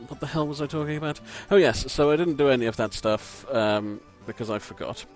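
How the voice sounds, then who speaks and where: A man with a croaky voice, giving rambling, self-deprecating gameplay commentary from a home mic and swearing casually. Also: mid-range voice, fairly even intonation, brisk, faint music behind. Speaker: let's play commentator. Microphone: home desk microphone.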